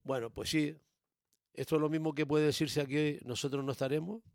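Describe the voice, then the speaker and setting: A man with a Canary Islands accent and a gravelly, creaky voice, recorded close and dry. He talks casually but with opinions, explaining things emphatically and repeating himself to make the point. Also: on the high side, steady tone, a little fast, darkish tone, dry recording. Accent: Canary Islands Spanish. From born in Tenerife, raised in Gran Canaria, Canary Islands.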